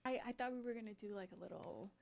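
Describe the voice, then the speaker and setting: A young adult woman co-hosting a studio talk show, lively and playful, with lots of "like"s. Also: medium pitch, quick pace, gravelly. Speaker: co-host. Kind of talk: casual chat. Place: radio studio.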